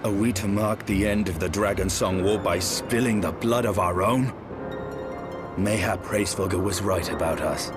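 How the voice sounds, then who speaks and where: An actor playing a grim, vengeful, war-weary knight in a fantasy video game, speaking in solemn, archaic phrases. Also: male voice, deep voice, unhurried. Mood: grave. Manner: solemn, archaic formal speech. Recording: music behind the voice. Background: loud game score.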